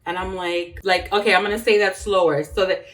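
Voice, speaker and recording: A woman's voice: lowish voice, steady tone, brisk, far from the mic. A woman from New York, born in Jamaica, chatting casually and rambling while she cooks in her home kitchen. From born in Jamaica, raised in Brooklyn, New York. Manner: rambling and chatty, laughs often, talks while chopping. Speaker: cooking vlogger. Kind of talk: casual chat while cooking.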